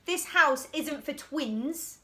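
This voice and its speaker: A woman voicing a stubborn, bossy little boy in a children's storybook, speaking loudly and emphatically. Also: high-pitched, lively intonation, slow, a little hiss or hum, exaggerated voice. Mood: bossy, defiant. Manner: loud, emphatic, defiant.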